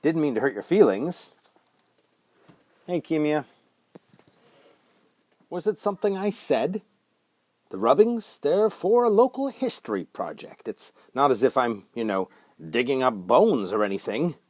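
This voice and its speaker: A man voicing an affable, bumbling gentleman in a storybook, with polite, hesitant, old-fashioned clipped phrasing. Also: mid-range voice, very animated, measured pace, pauses often, no room sound, studio quality, pitched above the performer's own voice. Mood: apologetic, affable. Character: affable, bumbling, well-mannered English gentleman stranger, chatty.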